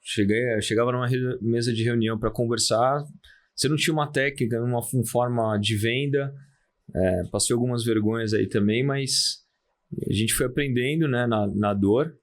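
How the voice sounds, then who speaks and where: A man in his thirties with a Brazilian Portuguese accent, a podcast guest talking in a calm, halting way with many restarts. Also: mid-range voice. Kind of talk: casual interview talk.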